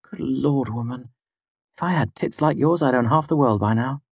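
A man voicing a blunt, sarcastic, proud small woman with a curt, sharp manner, as in an audiobook. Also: mid-range voice, expressive, moderate pace, slightly rough, no echo, studio quality, pitched above the performer's own voice. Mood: exasperated, brash. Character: sardonic, blunt, foul-mouthed small woman with fierce pride. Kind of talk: audiobook character dialogue.

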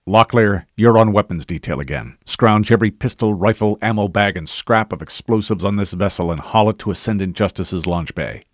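A man voicing a calm, stoic military commander in an audiobook, with a terse, measured and authoritative delivery. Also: low voice, dry recording, lo-fi sound. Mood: commanding. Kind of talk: audiobook character voice.

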